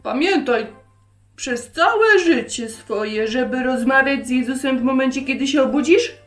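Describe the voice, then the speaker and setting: A woman voicing a kindly old grandmother who gently teaches her granddaughter in a children's story. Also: high voice, expressive, a little slow. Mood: earnest advice. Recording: roomy echo, music behind.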